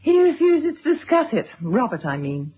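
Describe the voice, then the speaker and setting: An actress playing a gentle, solicitous middle-aged mother and wife in a radio drama, speaking considerately. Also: high-pitched, very animated, normal speed, husky, heavily acted. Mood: confiding. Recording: dull old radio recording.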